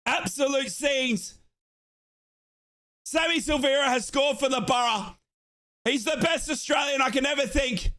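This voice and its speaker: A man calls a football match live from his home office as a fan, swinging between shouted chants and running play-by-play. His voice sits extremely high for a man and comes across bright and forceful. He often breaks into yelling. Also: unhurried, pauses often. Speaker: live watchalong host.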